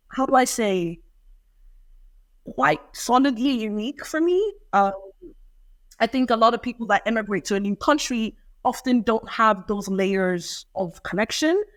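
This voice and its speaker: A woman's voice: mid-range voice, dry recording. A young adult woman, answering interview questions in a reflective, thoughtful way. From Ireland. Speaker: poet and interview guest.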